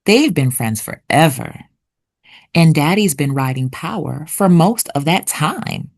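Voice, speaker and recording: A woman reading a children's picture book aloud expressively, with deliberate storytelling pauses. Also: sits low, animated, creaky, darkish tone. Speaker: children's read-aloud narrator.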